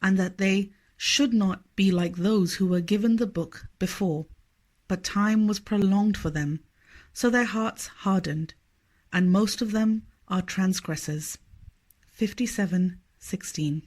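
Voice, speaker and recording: A woman reading a scripted scripture study aloud in a measured, formal manner. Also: medium pitch, a little mellow. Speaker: reader of a religious study text.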